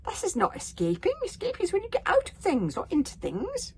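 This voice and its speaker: High squeaky little dragon all in a flap, lady doing it, breathy and huffy, jumps all over the place. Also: wildly swooping pitch, brisk, a little mellow, exaggerated voice. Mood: alarmed scolding. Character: small anxious dragon, fussing and scolding.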